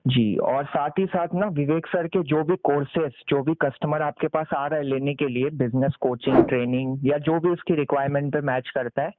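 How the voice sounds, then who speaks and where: A man giving a persuasive sales pitch over the phone, explaining step by step, mixing in English business terms and checking for agreement. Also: steady tone, normal speed, hardly any pauses, no echo. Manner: explanatory, often seeks confirmation with tag questions. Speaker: sales consultant on a call. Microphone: recorded phone call. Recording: muffled lo-fi phone call recording.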